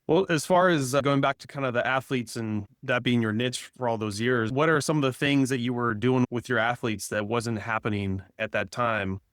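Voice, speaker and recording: A man's voice: mid-range voice, moderate pace, few pauses, a bit bright, dry recording, clean studio sound. Upbeat podcast host in his forties. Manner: upbeat, conversational questioning. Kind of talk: casual podcast interview.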